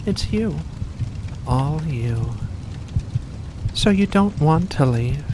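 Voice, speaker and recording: A seductive vampire creature, played by an ASMR performer speaks at a very slow, deliberate pace in a mid-range voice. The delivery is intimate and predatory, purring with menace as if coaxing prey. A clear wash of rain-like noise sits behind the voice. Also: mid-range voice, lively intonation. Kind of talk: ASMR roleplay monologue.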